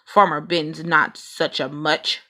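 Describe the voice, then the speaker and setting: A woman's voice: low voice, little pitch movement, slow pace, sharp tone, exaggerated voice. A woman voicing a grumpy, boastful father bear from a children's storybook, grumbling and emphatic.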